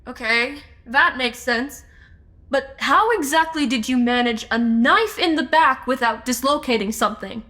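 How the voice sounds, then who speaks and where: Woman playing a brisk levelheaded sleuth in an audio drama, high forceful voice, takes charge. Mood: demanding. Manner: matter-of-fact, commanding. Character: brisk, level-headed female amateur investigator. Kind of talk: comedy audio drama acting.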